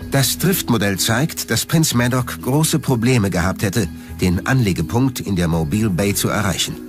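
A man reads scripted documentary narration in a low voice. His intonation moves expressively up and down. Music plays clearly behind him. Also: animated, moderate pace.

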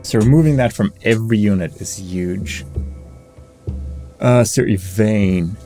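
A man's voice: fairly low pitch, unhurried, warm tone. A man giving casual game commentary, musing and weighing his options aloud in a hesitant way. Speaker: gaming video creator. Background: game music.

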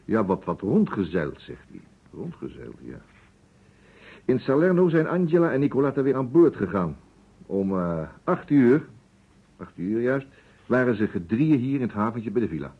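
An actor playing a courteous, precise private detective in a vintage radio play, musing deliberately and dropping in French phrases. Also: male voice, medium pitch, big pitch swings, slightly rough. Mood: methodical. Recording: muffled phone-like old radio recording.

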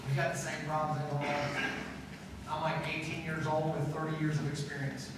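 A middle-aged male pastor preaching to a school audience in a slightly high voice, chatty and repetitive, building emphasis as he goes. He is picked up from across a large echoey hall with a long reverb tail. A steady room noise hums behind him. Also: normal speed, far from the mic. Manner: conversational preaching, repeats phrases, rising emphasis. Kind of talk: chapel sermon to students. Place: school auditorium stage with seated audience. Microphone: far camera in hall.

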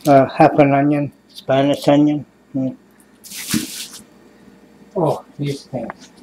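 Fifties man from Canada, tired rambling home vlog on a webcam, swears and sighs, soft hum of air conditioning. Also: medium pitch, little pitch movement, slow. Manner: mumbling, sighing, swears often, trails off. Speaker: vlogger. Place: small cluttered room indoors at night. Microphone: webcam close to face.

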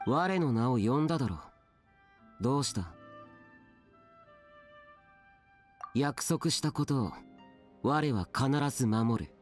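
A man's voice: medium pitch, expressive, measured pace, close to an everyday voice. A solemn, aloof young immortal from an anime game, acted by a man. His mid-range voice is terse and reserved, with stern, archaic phrasing, over faint background music. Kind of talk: Japanese anime game voice acting.

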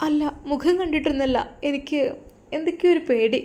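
A woman voicing a frightened young bride, timid and pleading, a little higher than her own voice. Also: brisk, warm tone, close to an everyday voice.

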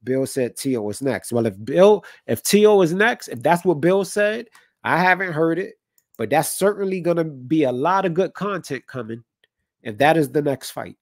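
A man's voice: medium pitch, a little mellow, dry recording, studio quality. Stuffy-nosed man hosting a boxing stream. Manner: conversational, opinionated, reads chat comments aloud. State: stuffy from a cold. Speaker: boxing livestream host. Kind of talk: casual talk with live chat. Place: home room with colored lights.

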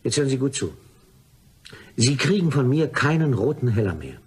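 An actor playing a harried, irritable writer in a German radio crime drama, husky and exasperated, curt and prone to outbursts. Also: male voice, medium pitch, sing-song, normal speed. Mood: indignant. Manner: exasperated, curt, swinging between outbursts and resignation. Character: harried, irritable male writer being blackmailed.

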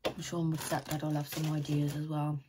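A British woman in her thirties with a deep, croaky, tired voice, chatting casually and thinking aloud to camera as she vlogs at home. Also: monotone, fairly quick, noisy background. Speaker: vlogger. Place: small room at home, indoors.

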